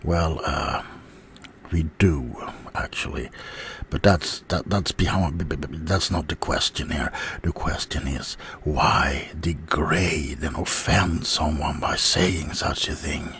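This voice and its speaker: A man voicing an offended, self-pitying werewolf in an ASMR roleplay, talking haltingly and often dropping to a whisper. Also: extremely low pitch, steady tone, fairly bright tone, a little hiss or hum, exaggerated voice. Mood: indignant. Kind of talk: ASMR roleplay monologue in a mock interview.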